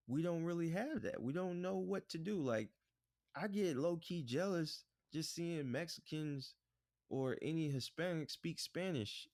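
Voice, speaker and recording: A man co-hosting a podcast in relaxed, rambling casual chat, often saying "bruh" and "like". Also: fairly high pitch, no room sound, clean studio sound. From United States. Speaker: podcast co-host.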